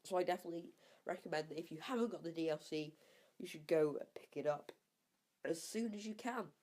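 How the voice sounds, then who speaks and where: A man's voice: fairly high pitch, a little fast, darkish tone. Young man with a cold, rambling. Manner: rambling, many fillers, repeats words for emphasis. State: has a cold and a slightly sore throat. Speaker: gaming YouTuber reviewing maps. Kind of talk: casual game review commentary.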